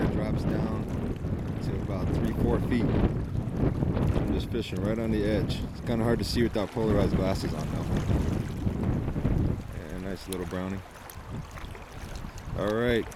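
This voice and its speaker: Male vlogger, 40s, easygoing and self-deprecating, recorded outdoors on a camcorder mic with wind and river noise. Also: on the low side. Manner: relaxed, self-deprecating, talking while fishing. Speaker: fly fishing vlogger. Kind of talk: casual outdoor vlog commentary. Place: outdoors, wading in a river in early spring. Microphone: handheld camcorder mic. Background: wind and rushing water.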